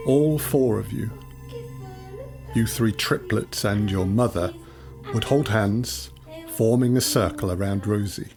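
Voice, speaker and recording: An actor playing a menacing supernatural creature in an audio drama, speaking in a measured, mocking, theatrical way. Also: male voice, on the low side. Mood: sinister, calm. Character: menacing male supernatural child-taking creature, calmly gloating. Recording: music under the voice.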